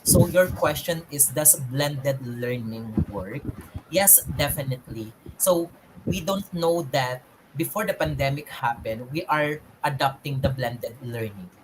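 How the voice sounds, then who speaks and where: A man's voice: medium pitch, measured pace, background noise. A man, a guest speaker, answering questions at an online seminar over a video call, often restarting and repeating phrases.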